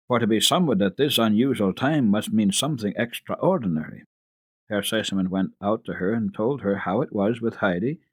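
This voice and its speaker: A man narrating a children's classic as a radio storyteller, in a measured, expressive, formal reading. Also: fairly low pitch, breathy edge, no room sound.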